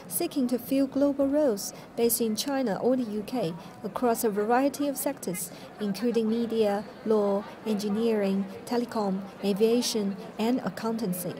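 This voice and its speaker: A woman's voice: a little high, normal speed, hardly any pauses, a crowd in the background. A woman reporter reading a scripted news narration.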